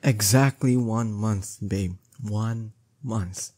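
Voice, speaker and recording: A young man playing a strong, doting boyfriend in an intimate roleplay audio drama, coaxing and teasing affectionately with drawn-out words. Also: on the low side, a little mellow, no echo, studio quality. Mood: exasperated, pleading. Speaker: voice actor.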